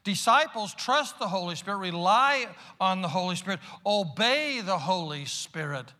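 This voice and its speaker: High bright-voiced preaching man, echoing hall. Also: lively intonation, normal speed. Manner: emphatic, swings between loud calls and hushed asides. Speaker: pastor. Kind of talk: sermon. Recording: long reverb of a large hall.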